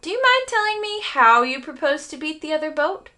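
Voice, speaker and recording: A woman voicing an excitable grown man who owns a toy sailboat in a storybook, emphatic and dramatic. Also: sits high, a little fast, pitched above the performer's own voice, full character voice. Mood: brisk, excited.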